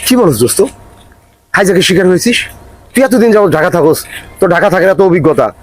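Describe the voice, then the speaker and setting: A man's voice: high-pitched, lively intonation, quick pace. A man with a Bangladeshi accent acting as a puzzled, sympathetic village friend in a comic drama, asking incredulous questions. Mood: puzzled, concerned. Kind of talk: scripted comic drama dialogue.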